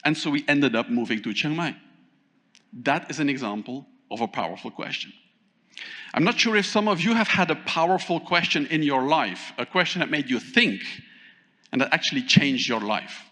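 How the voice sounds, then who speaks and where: A man with a fairly high voice is giving a conference keynote on stage, talking with lively energy and often pausing to put questions to the audience. His voice rings out through a large hall with a long, lingering reverb. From Thailand. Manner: animated, asks the audience questions, pauses often. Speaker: keynote speaker.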